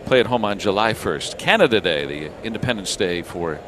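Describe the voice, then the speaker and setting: Male baseball announcer over clear ballpark noise. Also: lowish voice, lively intonation, normal speed. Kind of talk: live radio sports commentary. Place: baseball ballpark broadcast booth. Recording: clear crowd and ambient noise. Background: outdoor stadium ambience.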